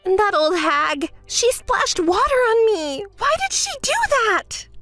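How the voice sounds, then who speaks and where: A woman performs an entitled, hysterical young woman for a melodramatic story. Her voice is very high and swings wildly in pitch, whining and demanding with shrill edges. The recording is close and dry. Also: very animated, measured pace, no echo, faint music behind, heavily acted. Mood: furious. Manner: whiny, demanding, shrill outbursts.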